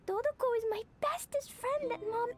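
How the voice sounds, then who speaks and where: A woman's voice: very high-pitched, moderate pace. A woman voicing an innocent, earnest little girl in a video game, speaking in simple childlike phrases. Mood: worried, timid. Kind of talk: English video game voice acting. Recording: music playing behind.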